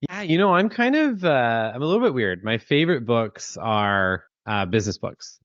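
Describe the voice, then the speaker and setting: A man's voice: mid-range voice, sing-song, moderate pace, a bit bright, no echo. A Canadian man in his thirties, a startup founder talking casually as an interview guest, chatty with lots of fillers and restarts. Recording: dry, dull lo-fi call audio.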